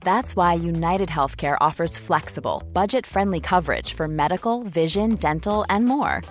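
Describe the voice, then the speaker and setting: Low-voiced woman reading an ad. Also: a little slow, soft background music. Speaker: ad announcer. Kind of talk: scripted ad read.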